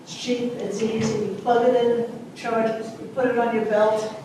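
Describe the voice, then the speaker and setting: A woman's voice: on the high side, flat delivery, moderate pace. A woman tour guide on a panel, giving blunt, opinionated advice on using microphones. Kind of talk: panel discussion. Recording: distant, echoey room with noise.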